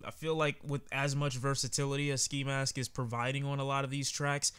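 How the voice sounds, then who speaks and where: Man with a smooth, clear voice. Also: mid-range voice, flat delivery, brisk, fairly bright tone. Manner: candid, conversational, frequent fillers. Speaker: music reviewer. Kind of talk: casual album reaction commentary.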